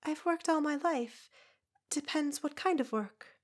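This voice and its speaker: A woman putting on a wary working woman, short hesitant answers, higher voice, storybook. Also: measured pace. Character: plain hardworking young woman, cautious.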